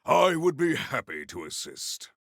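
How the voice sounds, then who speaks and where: An actor playing a noble, earnest warrior hero in a video game, speaking in a pompous, formal and grandiose way. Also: male voice, mid-range voice, huge pitch swings, measured pace, creaky, a bit bright, no echo, clean studio sound, heavily acted. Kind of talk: video game character voice acting.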